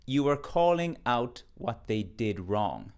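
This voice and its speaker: A man delivers a quick lesson straight to the camera. His voice sits at an ordinary middle pitch, and he lectures with emphatic, mock-indignant firmness. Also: sing-song, a little mellow, clean tone. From Ireland. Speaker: English teacher. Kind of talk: direct-to-camera short lesson.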